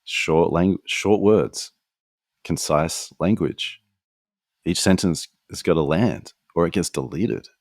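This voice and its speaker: A man with a deep voice, hosting a podcast, talking reflectively and critiquing himself, with frequent restarts. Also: a little mellow, no room sound, studio quality. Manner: reflective, self-critical, quick asides and restarts. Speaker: podcast host. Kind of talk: conversational interview answers. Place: apartment in New York.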